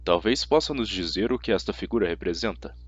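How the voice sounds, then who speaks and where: A man voicing a stern, measured male teacher in an audiobook, formal and deliberate. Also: on the low side, lively intonation, breathy edge, no echo, highs cut off, pitched below the performer's own voice. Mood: probing, stern. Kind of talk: audiobook character voice.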